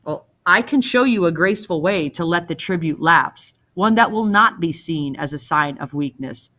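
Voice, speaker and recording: A woman's voice: lowish voice, lively intonation, moderate pace, pushed and bright. A woman voicing a bold, reckless young male hero in a storybook reading, earnest and defiant. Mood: bold, persuasive.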